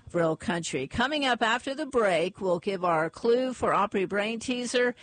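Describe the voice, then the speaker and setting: A woman's voice: medium pitch, animated. A woman hosting a morning radio show, chatting casually with a co-host and reading sponsor spots and community notices. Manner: chatty, laughs easily, reads ads and community notices briskly. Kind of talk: casual on-air chat and live sponsor reads. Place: radio studio. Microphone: studio microphone.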